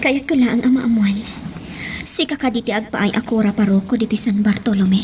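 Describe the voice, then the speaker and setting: A woman's voice: a little high, normal speed, darkish tone, recorded from a distance, a little hiss or hum, lightly acted. A woman with an Ilocano Filipino accent playing a shy, devoted young woman in a radio drama. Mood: hesitant, tender. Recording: light music bed behind the voice.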